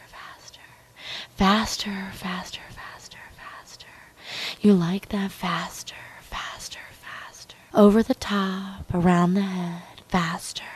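A woman speaking in an intimate, commanding hypnosis style, coaxing and repetitive, with a breathy voice that often drops to a whisper. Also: fairly low pitch. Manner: slow, drawn-out, dominant and coaxing. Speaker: erotic hypnosis performer.

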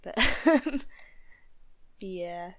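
A young woman with a Northern English accent talks at an unhurried, drawn-out pace, full of ums and little self-mocking giggles. Her pitch sits in an ordinary middle range, and she chats casually, stumbling and restarting as she goes. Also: lively intonation, fairly bright tone. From northern England. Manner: giggly, self-deprecating, hesitant with many fillers. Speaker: student vlogger. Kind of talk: casual chat, accent tag video.

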